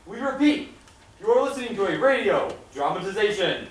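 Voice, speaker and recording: A man's voice: sits high, very animated, brisk. A man playing a formal, grandly emphatic 1930s network radio announcer on a stage. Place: live theatre stage. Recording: echoey, distant stage pickup, faint noise.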